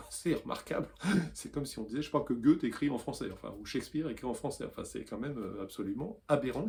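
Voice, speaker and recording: A man from France speaks earnestly to camera as a weekly columnist. His voice is mellow and warm, at a middling pitch, and he pauses and restarts often as he makes his case. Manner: earnest, with frequent hesitations. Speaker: newsletter columnist. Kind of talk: opinion video commentary.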